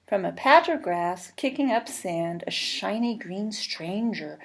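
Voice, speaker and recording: A woman reading a children's storybook aloud, expressive and deliberate, pausing between phrases and adding short asides. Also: mid-range voice. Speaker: storybook reader. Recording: clearly noisy recording with clicks.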